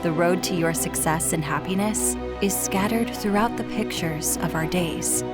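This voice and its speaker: A woman's voice: fairly low pitch, normal speed. Soothing female ad voice over music. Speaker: ad announcer. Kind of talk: scripted advertisement read. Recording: loud music bed behind voice.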